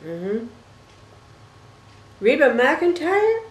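A woman's voice: sits high, huge pitch swings, very slow. An older woman chats as an interview host in a roomy, echoing living room. She takes her time with each question. Her manner is folksy and familiar, with pet names dropped in. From Toronto, Canada. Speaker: talk show host, interviewer. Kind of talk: casual interview chat. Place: small living room, indoors.

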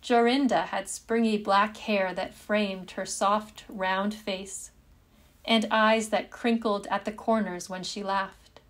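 A woman narrating a fairytale in measured, deliberate storybook phrasing. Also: mid-range voice, steady tone, fairly bright tone.

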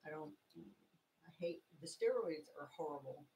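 A woman's voice: sits low, expressive, moderate pace, dark tone, lo-fi sound. Middle-aged woman, scratchy from illness, rambling craft-stream host, faint background hiss. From Texas. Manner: chatty, rambling, stops to explain each step. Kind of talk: casual craft tutorial livestream chat. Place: small home craft room, indoors.

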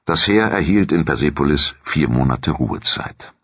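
A man narrates a history documentary in an extremely deep, gravelly voice. His scripted delivery is measured and solemn. The sound is dry, with a dull, muffled top end. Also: expressive, a bit bright, dry recording.

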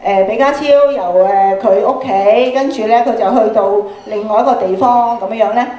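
A woman's voice: fairly high pitch, normal speed, dark tone. A middle-aged woman with a Hong Kong Cantonese accent, speaking as an encouraging workshop leader to an audience through a handheld microphone on a stage. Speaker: workshop speaker, radio programme producer. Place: school auditorium stage. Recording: echoey hall through PA microphone.

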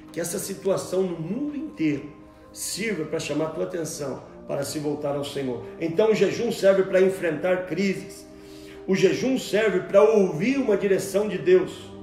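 Animated preacher man in an echoey room. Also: high-pitched. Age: 40s. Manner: animated, gestures a lot, repeats words while searching. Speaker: pastor. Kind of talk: home sermon teaching. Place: room at home, at a table with Bibles.

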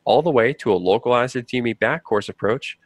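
A man's voice: mid-range voice, fast talker, no room sound. A man giving scripted, explanatory narration for a training video. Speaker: narrator of a flight training promo.

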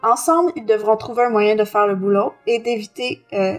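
A woman's voice: medium pitch, fairly quick, faint music behind. A woman co-hosting a podcast, reading a blurb aloud carefully with small stumbles. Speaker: podcast co-host.

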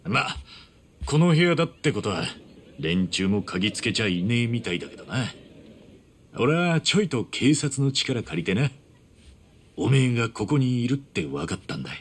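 An actor playing a loyal, earnest street-tough man in a game cutscene, speaking roughly and from the heart. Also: medium pitch, big pitch swings, moderate pace. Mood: wry, casual. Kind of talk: dramatic game cutscene acting.

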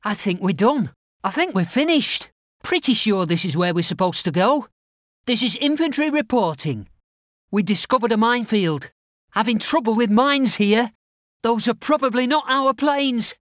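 A man's voice: very high-pitched, expressive, normal speed, fairly bright tone, no echo, studio quality. An actor playing a timid, unsure infantryman in a video game, speaking hesitantly and politely. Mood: tentative reporting. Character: timid, unsure British infantry soldier, eager to obey. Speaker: infantry soldier unit voice. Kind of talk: video game unit barks.

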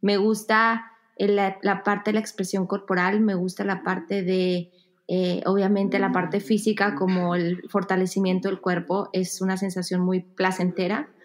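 Halting, searching woman interview guest. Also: mid-range voice, flat delivery. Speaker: podcast guest, yoga teacher. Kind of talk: casual interview answers. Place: home room with bare wall, indoors.

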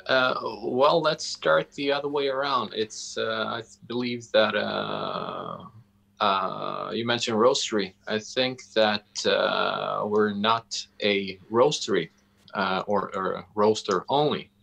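Male interview guest, Swedish accent, low gravelly voice, halting and deliberate delivery over a video call. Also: pushed and bright. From Sweden. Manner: halting, deliberate, searching for words. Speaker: interview guest, coffee roastery founder. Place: indoor room, on a video call. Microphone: video call microphone.

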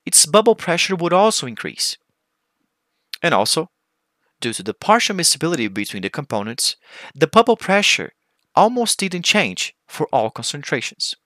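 A man giving a formal, scripted conference presentation as a researcher, with deliberate phrasing. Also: fairly high pitch, bright, forceful tone, dry recording, studio quality.

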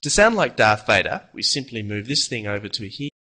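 A man in his thirties giving a scripted but casual software tutorial, chatty and upbeat. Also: fairly low pitch, very animated, a little fast.

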